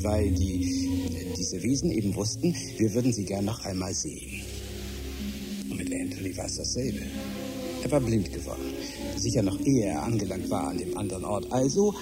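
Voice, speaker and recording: An actor in a German radio drama playing a fretful husband and father who rambles haltingly and reassures himself. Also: medium pitch. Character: fretful husband and father clinging to comforting explanations.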